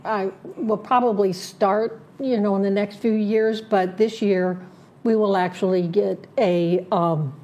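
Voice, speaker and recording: Woman going thru her list of plans, warm low-key tone, lots of um and uh. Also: steady tone. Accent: Southern Californian. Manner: lists points slowly, many fillers and restarts. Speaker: community board director interviewed as candidate. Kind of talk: prepared statement in an interview.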